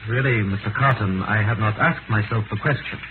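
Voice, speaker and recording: An actor playing a polite, sober, reserved young gentleman in a 1930s radio drama, speaking with formal restraint. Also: on the low side, brisk, natural voice. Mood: polite, guarded. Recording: tinny, noisy 1930s radio broadcast recording.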